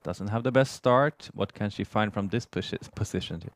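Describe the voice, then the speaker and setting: A man's voice: fairly low pitch, moderate pace, no echo. A man from Sweden with a Swedish accent gives conversational live sports commentary in English as his second language.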